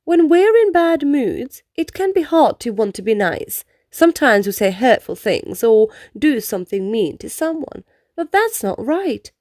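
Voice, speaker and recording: A woman reading a children's picture book aloud in an expressive storytelling style. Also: high voice, unhurried, no echo, clean studio sound.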